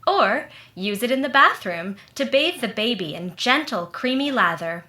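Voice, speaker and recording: A woman's voice: on the high side, expressive, moderate pace, bright, forceful tone, echoey room. A woman playing a cheery, amused neighbor and soap seller in 1940s radio style. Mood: cheerful selling. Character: perky, amused neighbor friend and soap pitchwoman. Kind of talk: 1940s radio ad and comedy acting.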